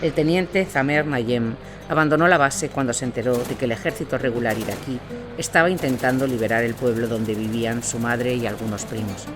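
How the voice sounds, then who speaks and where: A man narrating a scripted audio drama as a war reporter, in a measured, solemn storytelling manner. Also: medium pitch, lightly acted. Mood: grave, measured. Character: male war reporter recounting grim events in Iraq. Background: music bed.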